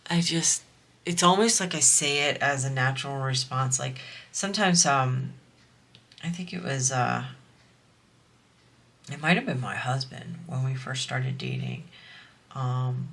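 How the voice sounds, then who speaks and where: Crafting vlogger, woman, nasal and bright, chatty and meandering. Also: extremely low pitch, lively intonation, gravelly. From US East Coast. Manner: rambling, chatty, slow. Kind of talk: casual chat while diamond painting.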